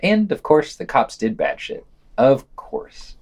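A man's voice: mid-range voice, wildly swooping pitch, slow, a little mellow. A man reading a scripted podcast news digest and quotes, with wry, sardonic commentary.

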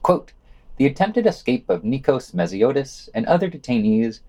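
A man's voice: mid-range voice, big pitch swings, moderate pace, mellow. A man reading scripted news summaries and quotations for a podcast, adding casual, sardonic asides.

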